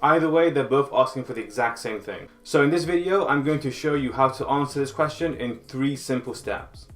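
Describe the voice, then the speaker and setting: A man's voice: mid-range voice, fairly quick. A man giving direct, advisory career coaching in a scripted instructional video. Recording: roomy sound with faint music.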